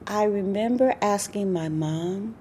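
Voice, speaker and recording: A woman's voice: medium pitch, unhurried, mellow, a little hiss or hum. A woman recalling her midlife experiences in a candid, storytelling way. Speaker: interviewee.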